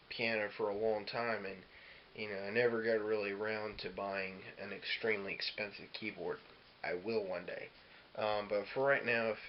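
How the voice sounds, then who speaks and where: A man with a fairly low voice talks through a casual music tutorial. He explains things loosely and informally, feeling his way as he goes. The sound is dull and lo-fi, with noticeable hiss behind him. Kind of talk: casual instructional demo talk. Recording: dull lo-fi audio with clear hiss.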